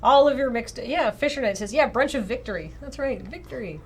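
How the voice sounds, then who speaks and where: A woman reading a visual novel aloud on a livestream in an expressive, casual way, often breaking off into playful asides. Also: fairly high pitch, a little fast, husky.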